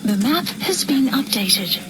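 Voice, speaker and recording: High-voiced military computer announcer. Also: female voice, sing-song, unhurried. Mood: impersonal alert. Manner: clipped, formal. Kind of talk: game system announcements. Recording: loud game noise behind short alerts.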